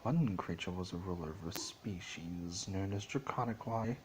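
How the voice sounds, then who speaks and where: Low-voiced man reading a story dramatically. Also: normal speed, slight background noise. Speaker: amateur story reader. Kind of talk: dramatic storybook narration of fan fiction.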